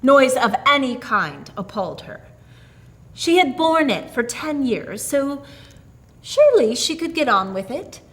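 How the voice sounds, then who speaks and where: Animated, higher-voiced woman storyteller. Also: measured pace. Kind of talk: expressive story reading.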